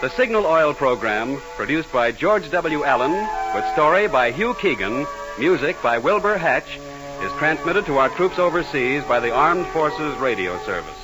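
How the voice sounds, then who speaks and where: A man's voice: on the high side, sing-song, normal speed, over music, poor audio. An American man working as a 1940s radio announcer, giving commercials and sign-offs in a polished, emphatic sales delivery. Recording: vintage 1940s radio broadcast.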